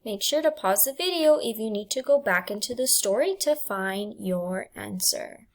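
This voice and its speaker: A woman teacher reading test questions and answer choices aloud in a deliberate, enunciated way for students. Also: mid-range voice, lively intonation. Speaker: English language arts teacher.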